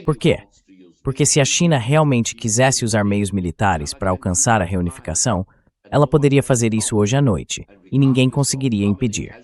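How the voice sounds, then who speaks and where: A man with a Brazilian accent and a fairly low voice gives dubbed political commentary. He speaks as an interview guest, explaining his points in a didactic way and stressing them emphatically. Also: animated, a little fast, darkish tone.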